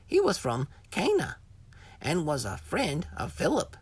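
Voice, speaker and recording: A woman's voice: low voice, wildly swooping pitch, a little slow, darkish tone, husky, no echo. A woman reading scripted educational narration as the narrator of a children's Bible lesson.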